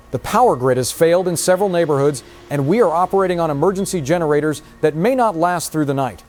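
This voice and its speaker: A man playing a grave local TV newsman, delivering a formal, measured emergency bulletin in a scripted horror audio drama. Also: a little high, a little mellow, natural voice. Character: grave male local TV newsman reporting catastrophe. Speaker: TV news reporter.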